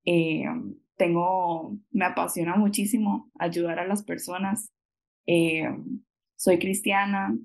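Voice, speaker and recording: A woman's voice: fairly low pitch, steady tone, takes it slowly, creaky. A woman in her twenties from Costa Rica with a Costa Rican accent, answering interview questions over a video call in a hesitant, laughing way. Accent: Costa Rican Spanish. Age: 20s. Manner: hesitant, many fillers, laughs often. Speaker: guest. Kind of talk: conversational interview.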